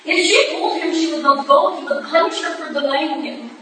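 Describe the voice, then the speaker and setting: Woman acting an earnest, high-voiced Victorian supplicant. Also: normal speed, a bit bright. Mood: grave, pleading. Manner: formal, dramatic. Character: earnest Victorian client pleading for help in a murder case. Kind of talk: staged reading of a period stage play. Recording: echoey room from far off, noisy.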